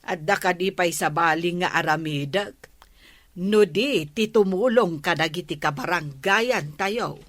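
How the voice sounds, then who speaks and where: A woman's voice: on the low side, unhurried, no echo. A woman playing a village captain's wife in a radio comedy drama, speaking politely and earnestly.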